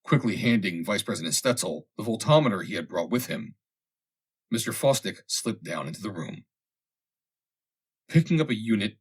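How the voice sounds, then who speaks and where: A man reading a vintage humorous story aloud as scripted narration, with dramatic, expressive delivery. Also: fairly low pitch, husky. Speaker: audiobook reader.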